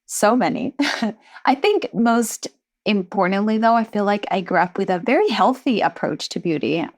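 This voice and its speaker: A woman in her thirties from Sweden, a founder telling stories from her life in a reflective, warm way in a podcast interview. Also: medium pitch, animated, normal speed. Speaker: entrepreneur guest.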